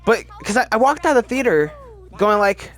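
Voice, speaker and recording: A man hosting a movie ranking video with casual, opinionated commentary in an animated, rambling, halting way that often repeats words. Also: high-pitched. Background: background music.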